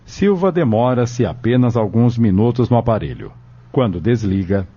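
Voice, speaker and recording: Dark, husky Brazilian male narrator. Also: medium pitch, animated, normal speed. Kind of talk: scripted radio drama storytelling. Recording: dull lo-fi audio.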